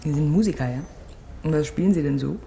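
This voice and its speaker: Low, creaky, sardonic rich daughter. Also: female voice, quick pace, crowd noise. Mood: teasing, mocking. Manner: teasing, blunt, mocking. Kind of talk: radio crime drama dialogue.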